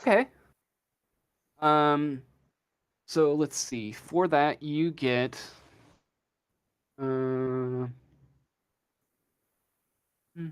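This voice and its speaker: A man acting as game master, improvising a tabletop story in a casual, halting way as he searches for words. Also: a little high, clean tone.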